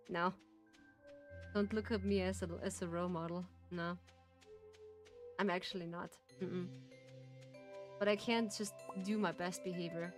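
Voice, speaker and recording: Woman streamer with a German accent, unhurried soft-spoken chat over faint music. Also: on the low side. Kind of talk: casual gameplay chat.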